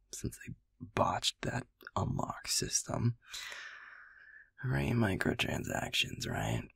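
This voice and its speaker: Husky, half-whispering sleepy boyfriend played by a man. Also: mid-range voice, dry recording, clean studio sound, full character voice. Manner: drowsy, hesitant, casual mumbling with swearing. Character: sleepy, affectionate, self-deprecating young male werewolf boyfriend. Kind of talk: intimate roleplay ASMR, murmured ad-lib gamer chat.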